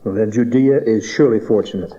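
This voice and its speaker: An actor playing a polite, dryly witty travelling wise man in a dramatized audio Bible, with a courteous, measured manner. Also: fairly low pitch, mellow, no room sound, lightly acted. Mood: dry courtesy. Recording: dull cassette tape transfer.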